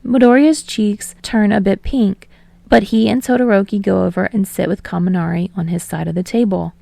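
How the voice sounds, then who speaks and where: Creaky-voiced woman narrating fiction. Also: mid-range voice, fairly even intonation, moderate pace, warm tone, no room sound. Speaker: audiobook narrator.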